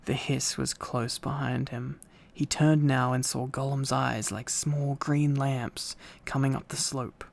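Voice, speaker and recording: Man with a creaky voice reading a story. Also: mid-range voice, steady tone, slow. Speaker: narrator. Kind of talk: relaxed bedtime storybook reading.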